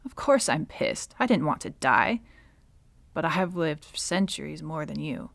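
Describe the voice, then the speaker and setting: Centuries-old witch villainess for audio roleplay female actor: unhurried, deep-pitched, sardonic and bitter, softening into breathy near-whispers. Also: expressive, no room sound, exaggerated voice. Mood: incredulous, angry. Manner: slow, sardonic, dropping often into breathy whispers. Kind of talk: ASMR dramatic roleplay monologue.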